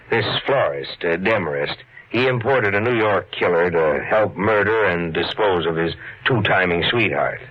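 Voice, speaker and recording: An actor playing a plain-spoken, steady police officer, folksy and a little hesitant, in 1940s radio style. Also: male voice, fairly low pitch, breathy edge. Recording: dull lo-fi 1940s radio recording.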